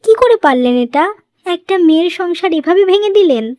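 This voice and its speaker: A woman's voice: very high-pitched, lively intonation, a little fast, warm tone, no echo, studio quality. A woman voicing a wronged, long-suffering young wife in a moral-story cartoon, earnest and pleading. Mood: hurt, protesting.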